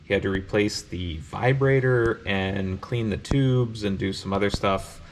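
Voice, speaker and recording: A man speaks in a fairly low voice, in the informal style of a hands-on vlog. He thinks aloud as he looks things over, talking casually to the viewer. There is faint noise and ambience behind his voice. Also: unhurried. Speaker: car owner and vlogger.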